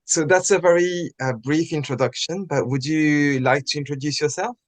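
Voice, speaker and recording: A man's voice: fairly high pitch, normal speed. A man hosting an interview, speaking in a friendly way with many fillers and restarts. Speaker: interview host. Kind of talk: casual interview chat.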